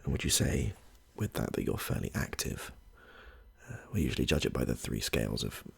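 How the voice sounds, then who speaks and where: A man acts out an affable clinician in an ASMR roleplay, very breathy and husky with heavy vocal fry. He is soft-spoken and rambles hesitantly through his questions, reassuring as he goes. His delivery swings lively up and down. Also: extremely low pitch, a little fast, full character voice. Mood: attentive, mildly flustered. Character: chatty, affable male medical intake clinician with a questionnaire.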